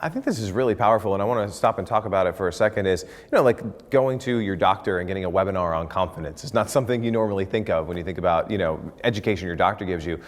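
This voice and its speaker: Fast-talking upbeat male host. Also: deep voice, in a large hall. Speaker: talk show host. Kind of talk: interview hosting.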